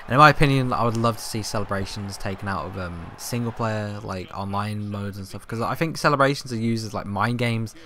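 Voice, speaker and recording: A young man talks over football gameplay in a somewhat low, hoarse voice that keeps threatening to give out. He rambles with ums and restarts, and a faint hum sits under the home recording. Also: a little fast, few pauses, sharp tone. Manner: rambling, filler-heavy, self-correcting. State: hoarse, coughing, voice going. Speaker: gaming YouTuber. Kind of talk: casual gameplay commentary.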